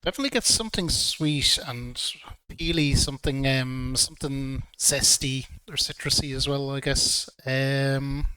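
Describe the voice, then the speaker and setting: A man reviewing whiskey in a relaxed, hesitant way, a little throaty from a cold. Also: mid-range voice, a little slow, few pauses, smooth, rough recording. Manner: relaxed, hesitant with frequent ums. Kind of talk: casual video review.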